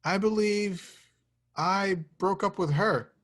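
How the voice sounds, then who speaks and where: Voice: medium pitch, slow, fairly bright tone. An actor playing an awkward, sardonic young slacker hero in a casual, wry table read. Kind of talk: amateur screenplay table read.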